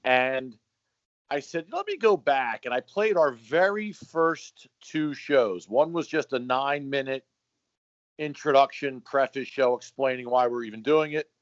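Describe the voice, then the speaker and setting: A middle-aged man co-hosting a podcast, telling stories in a lively, joking, casual way. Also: mid-range voice, measured pace, dry recording. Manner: animated storyteller, joking. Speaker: podcast co-host. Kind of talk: casual chat. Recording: dry, slightly dull sound.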